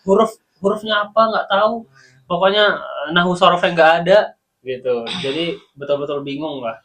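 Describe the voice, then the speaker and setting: A man with an Indonesian accent, a guest on a casual podcast, telling about his time as a student in Sudan with lively, laughing storytelling. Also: on the high side, normal speed. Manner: animated storytelling, laughs while recounting.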